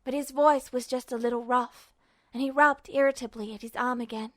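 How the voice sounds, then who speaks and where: A woman's voice: high voice, steady tone, brisk, a bit bright, dry recording, clean studio sound. A woman reading scripted fiction as an audiobook-style narrator. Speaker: podfic narrator.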